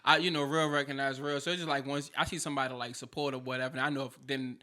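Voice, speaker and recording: A man's voice: medium pitch, flat delivery, rapid-fire, sharp tone. A man hosting a podcast in casual chat, with a light voice and a loose, chatty delivery full of fillers and restarts. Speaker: podcast host.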